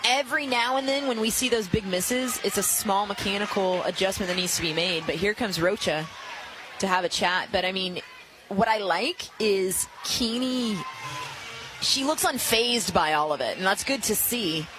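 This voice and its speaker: An American woman working as color analyst, explaining softball play in an informal, conversational way. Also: mid-range voice, normal speed, music in the background, poor audio.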